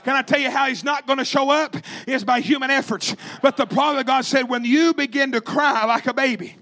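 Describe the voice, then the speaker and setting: A man's voice: very high-pitched, fairly quick, bright, forceful tone. A man preaching a fervent revivalist sermon, emphatic and repetitive, calling out to his congregation in rhythmic exhortation. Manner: calls out to the congregation.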